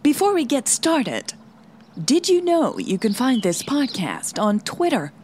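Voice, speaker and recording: A woman hosting a podcast and narrating a romance story in a calm, measured, scripted reading. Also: high-pitched, a little hiss or hum.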